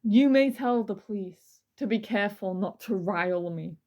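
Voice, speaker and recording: A boastful demon from hell, as put on by a woman. The delivery is slow and grandly theatrical, like a dramatic reading of a villain's letter. It is menacing and haughty, at a medium pitch. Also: darkish tone, close to an everyday voice. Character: boastful demonic male spirit, a murderous Axeman from hell.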